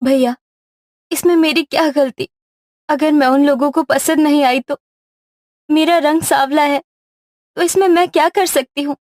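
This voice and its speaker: A woman acts out a meek and devoted young sister from a moral tale. Her voice is high, plaintive and earnest, recorded close and dry. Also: fairly even intonation, normal speed, pauses often, darkish tone, no echo, lightly acted. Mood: hurt, pleading.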